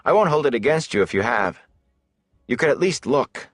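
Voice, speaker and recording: Man acting a footsore, anxious young traveller, higher-pitched. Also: expressive, moderate pace, no echo, studio quality, lightly acted. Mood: grumbling, wheedling. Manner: muttering, complaining.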